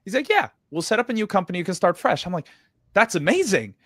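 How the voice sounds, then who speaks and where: A man's voice: dry recording, clean studio sound. A man from Sweden with an American accent, telling his story as a guest in an interview and acting out the conversations. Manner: animated storyteller, acts out dialogue with quick jumps in pitch.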